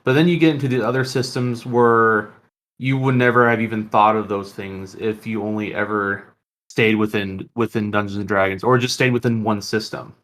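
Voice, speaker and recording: A man's voice: on the low side, steady tone, normal speed, fairly bright tone. A man speaking conversationally as a podcast host. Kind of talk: casual chat.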